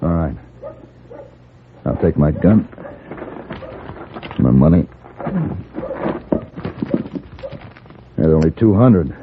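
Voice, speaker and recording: An American actor, middle-aged, playing a cool, commanding gentleman gunfighter in a 1950s radio western, measured and dry. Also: a bass voice, expressive, heavily acted. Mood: steely, threatening. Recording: muffled, faintly hissy 1950s radio recording.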